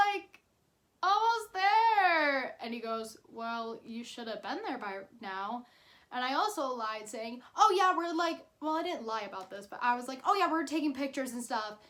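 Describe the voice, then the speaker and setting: High-pitched, chatty young woman storyteller. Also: very animated, a little slow, fairly bright tone. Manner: chatty, informal, dramatic emphasis in retelling. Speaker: YouTube storyteller. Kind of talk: casual personal storytelling.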